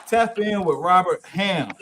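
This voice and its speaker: A man in his forties hosting a casual livestream chat, shouting out channels and calling people in. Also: sits high, animated, a little slow, a crowd in the background. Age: 40s. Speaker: livestream host.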